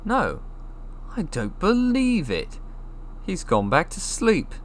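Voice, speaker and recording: A man voicing a blunt, excitable schoolboy in a storybook, given to exclamations. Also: high voice, slow, slight background noise, pitched above the performer's own voice, heavily acted. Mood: disbelieving.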